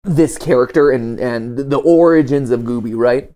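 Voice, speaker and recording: A man's voice: mid-range voice, normal speed, mellow. A man hosting a show in a casual, conversational way, talking through theories and reactions. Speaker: host.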